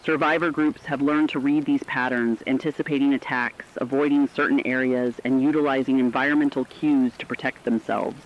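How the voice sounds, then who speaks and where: A serious radio reporter voiced by a man at an average pitch. He reads a fictional catastrophe bulletin in measured, scripted narration. The sound is dull and lo-fi, with rain behind it. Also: steady tone, natural voice.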